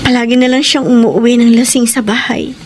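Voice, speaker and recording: A woman's voice: on the high side, fairly even intonation, fairly quick, background noise, close to an everyday voice. A woman playing a hurt, anxious young wife in a Filipino radio drama, with an emotional, plaintive delivery. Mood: sad, confiding. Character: distressed young wife, hurt and anxious about her marriage. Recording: music bed behind the voice.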